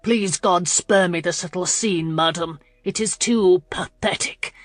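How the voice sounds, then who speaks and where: A woman's voice: on the low side, fairly even intonation, moderate pace, no room sound, natural voice. A woman narrator voicing a proud, bitter aristocratic man, speaking in a clipped, acid, contemptuous way. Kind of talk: audiobook character voice.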